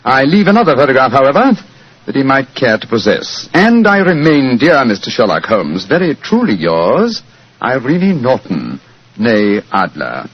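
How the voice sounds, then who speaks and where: An actor playing an assured, courteous gentleman detective with a precise manner, in 1940s radio drama style. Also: medium pitch, huge pitch swings, normal speed, slightly rough. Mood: reading, measured. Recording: dull vintage radio recording.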